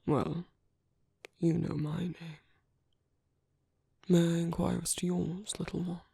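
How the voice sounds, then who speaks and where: A British man playing a caring, fatherly vampire priest in an ASMR roleplay, speaking softly and slowly into a close microphone with formal, gentle courtesy. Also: on the high side, level tone, dry recording, full character voice. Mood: reassuring, gently curious. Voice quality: soft, breathy, often whispering. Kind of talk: soft ASMR roleplay, intimate and slow. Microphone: close microphone, dry.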